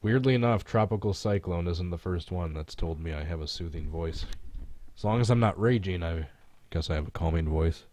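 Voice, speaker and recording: A man's voice: low voice, fairly quick, darkish tone, no echo. A man with a stuffy, congested voice chatting offhandedly while live streaming a racing game. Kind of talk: casual live stream chat and game commentary.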